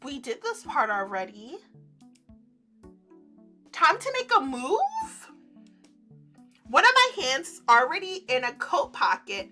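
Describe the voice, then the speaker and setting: Woman, let's-play narrator: extremely high pitch, highly animated range, giggly and gushing, music behind. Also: slow pace, long pauses. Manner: giddy, giggly, gushing reactions breaking into the reading. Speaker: streamer playing and reading a visual novel aloud.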